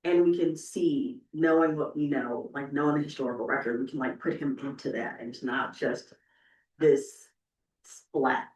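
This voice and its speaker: A woman's voice: extremely low pitch, fairly even intonation, moderate pace, darkish tone. A woman speaking conversationally as an oral historian on a panel, emphatic and often checking in with "right?". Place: indoor event room. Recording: echoey room, distant microphone.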